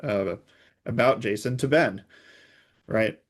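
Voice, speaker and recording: A man speaking as an expert guest in an interview, explaining technical security matters in a conversational, measured way. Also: mid-range voice.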